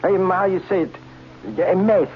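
An actor playing a timid, phony count and inventor, talking in a halting, overly formal way in a radio drama. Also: male voice, sits high, steady tone, slight background noise, natural voice. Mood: pleading. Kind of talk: 1940s radio acting. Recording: muffled old radio recording with music.

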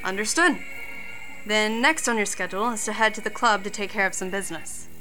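An actress playing a loyal, businesslike assistant to a vampire boss in an audio drama, deferential and composed. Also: female voice, medium pitch, fairly quick, sharp tone, faint music behind, close to an everyday voice, low-quality recording. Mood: composed, efficient.

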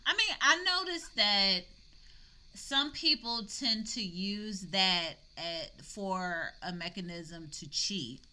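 A woman's voice: mid-range voice, lively intonation, takes it slowly, bright, forceful tone. A woman hosting a casual podcast conversation in an informal, conversational way. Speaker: podcast host leading the discussion. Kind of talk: casual chat.

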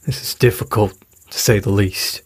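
Weary husky-voiced father, dry recording. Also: on the low side, steady tone, no room sound. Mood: dejected, frustrated. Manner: halting, swearing, downcast. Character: weary, troubled, frustrated widowed father of a teenager. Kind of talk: naturalistic audio drama acting.

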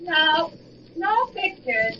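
An actress playing an excitable, frightened young wife in a 1940s radio drama, emotional and exclaiming. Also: female voice, extremely high pitch, drawn-out words, a little hiss or hum, heavily acted. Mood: playful. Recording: dull vintage 1940s radio recording, music behind.

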